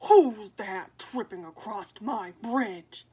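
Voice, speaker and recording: A woman's voice: mid-range voice, takes it slowly, mellow, a little hiss or hum, lightly acted. A woman voicing a big, ugly, mean male troll who roars and growls in a storybook reading. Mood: roaring menace. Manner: roaring, growling.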